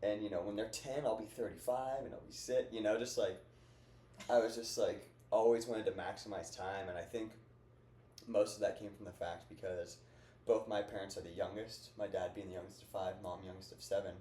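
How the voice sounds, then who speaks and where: A man's voice: on the low side, fairly even intonation, a little fast, warm tone. A young man in his twenties hosting a casual podcast chat, rambling and upbeat, full of fillers. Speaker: podcast host. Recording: echoey room with faint noise.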